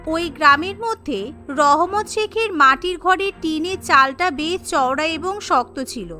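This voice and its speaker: A woman narrates a scripted cartoon story as its storyteller. Her voice is exceptionally high and bright, and faint music plays underneath. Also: lively intonation, unhurried.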